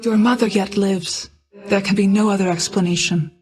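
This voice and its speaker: Video game goddess of night, female actor: ageless, solemn, maternal, stately archaic diction, medium pitch. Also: fairly even intonation, darkish tone, close to an everyday voice. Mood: grave, sorrowful. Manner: formal, measured, stately, archaic phrasing. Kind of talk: scripted video game voice acting.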